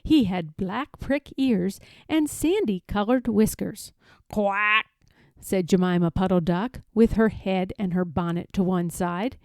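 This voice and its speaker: A woman narrating a children's story from a script, expressive and careful in her phrasing. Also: medium pitch, a little slow, hardly any pauses, no echo, clean studio sound. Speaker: storybook reader.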